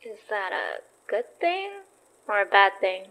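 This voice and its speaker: A woman's voice: medium pitch, sing-song, unhurried, pushed and bright, heavily acted, low-quality recording. A woman playing an anxious but plucky young investigator in a horror audio drama, speaking in a hesitant, nervy way. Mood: uneasy awe.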